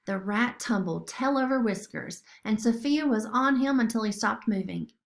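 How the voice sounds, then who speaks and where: A woman's voice: a little high, moderate pace, creaky. A woman narrating a children's story expressively, as a storybook reader.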